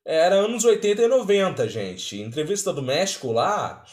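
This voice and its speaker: Man, Brazilian accent, higher-pitched voice, casual reaction commentary, conversational, echoey room. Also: sing-song, moderate pace, a little mellow. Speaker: reaction video host. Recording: roomy, echoey sound.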